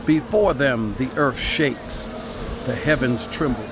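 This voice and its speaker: An actor playing a grave, exhorting prophet who narrates dramatized scripture in a solemn, measured, declamatory way. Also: male voice, mid-range voice, background noise, natural voice. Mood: urgent awe.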